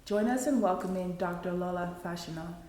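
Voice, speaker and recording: A woman hosts an interview with upbeat, encouraging questions. Her voice sits a little low. The recording carries the echo of the room. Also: brisk, warm tone. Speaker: interview host. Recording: echoey room.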